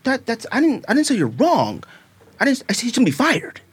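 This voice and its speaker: A woman co-hosting a podcast, arguing a sports point in an insistent way and sometimes shouting. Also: a little high, wildly swooping pitch, fairly quick, slightly rough. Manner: argumentative, insistent, breaks into shouts. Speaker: podcast co-host. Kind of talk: heated casual sports debate.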